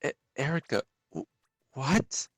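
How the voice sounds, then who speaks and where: A man voicing a wounded, pleading young woman in a dramatic story reading, speaking in an earnest, halting and emotional way. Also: medium pitch, animated, husky, no room sound, soft background music, pitched above the performer's own voice. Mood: hurt, shaken.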